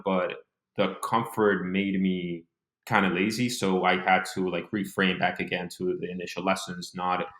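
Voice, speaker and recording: Interview guest: man, mid-20s, from Honduras. Low register, informal reflective delivery, roomy echo on the recording. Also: fairly bright tone. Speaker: interview guest, business owner. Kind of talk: casual conversational interview.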